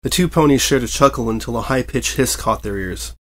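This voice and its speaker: A man reading fan fiction as narrator, in an expressive scripted storytelling style. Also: medium pitch, fairly quick.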